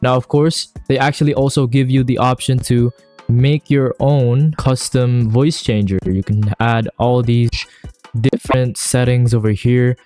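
A man narrating a casual software tutorial in a slangy, enthusiastic way. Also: mid-range voice, fairly even intonation, measured pace. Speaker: YouTuber host. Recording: music under the voice. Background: background music.

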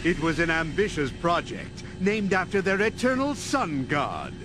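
Wildly swooping mad scientist villain. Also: male voice, on the high side, sing-song, a little slow, background noise. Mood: smug. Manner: theatrical, mock-courteous. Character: pompous, scheming middle-aged male mad scientist villain. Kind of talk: video game cutscene acting. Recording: music under the voice.

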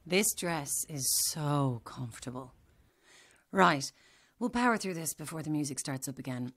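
Low, husky, matter-of-fact macabre woman. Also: expressive, measured pace, fairly bright tone, heavily acted. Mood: brisk, ominous. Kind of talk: horror-comedy audio drama acting.

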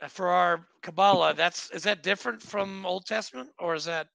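A man hosting a podcast, chatty and quick to jump in with asides. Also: sits high, gravelly, fairly bright tone, rough recording. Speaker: podcast host. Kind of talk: casual interview chat.

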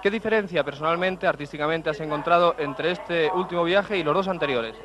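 Formal Spanish TV reporter, fairly high voice. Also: male voice, a little fast, crowd noise. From Spain. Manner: formal, wordy phrasing, restates his questions. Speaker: TV interviewer. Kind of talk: TV reporter questions and presenting. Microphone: handheld mic. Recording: muffled 1970s TV film sound.

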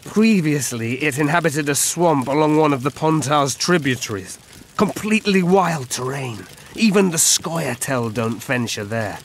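Solemn, world-weary old elf. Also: male voice, on the high side, expressive. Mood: grave, measured. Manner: measured, solemn, formal. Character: old male elf forest scout, world-weary and grave. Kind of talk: video game dialogue acting. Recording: clear ambient noise behind.

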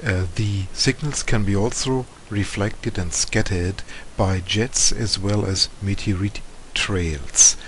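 A man with a German accent narrates a technical tutorial. His voice is fairly low and his delivery is very slow, with measured, halting phrases. There is clear noise behind the voice-over. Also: steady tone, fairly bright tone, rough recording. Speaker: amateur radio presenter.

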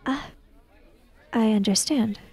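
A woman playing a tabletop roleplaying game, describing her character in a measured, matter-of-fact way. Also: fairly high pitch, a little mellow, slightly rough, no room sound, some chatter behind. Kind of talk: third-person roleplay narration.

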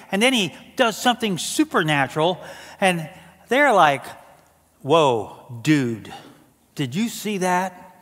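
A man's voice: sits high, fairly bright tone. Older man preaching in a reverberant hall. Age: 60s. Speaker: executive pastor. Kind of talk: sermon. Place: stage of a large church auditorium. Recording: hall reverb from a large auditorium.

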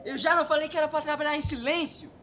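An irate cartoon homeowner played by a voice performer, very high in pitch. He scolds and threatens over clearly audible background noise. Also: steady tone, rapid-fire, sharp tone. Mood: angry. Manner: scolding, menacing. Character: angry, threatening male homeowner demanding silence. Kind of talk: cartoon sketch comedy dialogue. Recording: noisy background, clicks.

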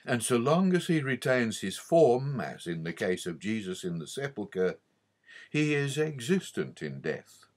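A man reading a theological audiobook in a measured, formal and emphatic manner. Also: medium pitch, sing-song. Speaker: audiobook reader. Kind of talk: scripted narration of a theological book.

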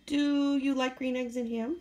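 A woman's voice: high voice, steady tone, unhurried, dark tone. A woman teacher reading a children's story aloud expressively, with rhythmic phrasing and acted dialogue. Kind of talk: expressive picture-book read-aloud.

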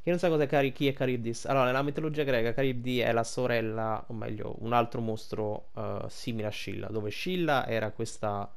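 A man's voice: mid-range voice, steady tone, brisk, gravelly, hardly any pauses, smooth. An Italian man giving casual gameplay commentary, rambling and thinking aloud as he plays. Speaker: gaming streamer.

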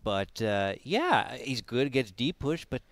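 A man's voice: medium pitch, very animated, a little slow. A man casting a live esports match with an upbeat manner, laughing often, on a headset in a small studio. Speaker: esports caster. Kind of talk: live game commentary. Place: small decorated studio set.

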